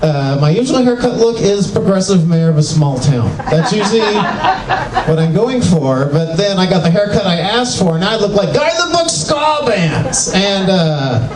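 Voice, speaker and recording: An older man doing a self-mocking, animated stand-up comedy set in a small venue. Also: high-pitched, normal speed. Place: small venue with live audience. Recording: echoey, distant club recording with crowd.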